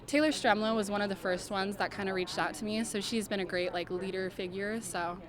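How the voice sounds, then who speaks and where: A young woman speaking to reporters at a middling pitch, cheerful and chatty, leaning on "like" and "I mean". Clear crowd chatter runs behind her. She sounds at ease. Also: fairly quick. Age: young adult. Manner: upbeat, chatty, fillers like "I mean" and "like". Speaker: college basketball player. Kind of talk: media interview answers.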